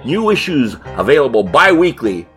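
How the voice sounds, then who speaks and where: An actor playing a melodramatic, emphatic announcer of a 1950s monster movie trailer. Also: male voice, mid-range voice, big pitch swings, moderate pace, a bit bright. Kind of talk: retro trailer narration. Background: music.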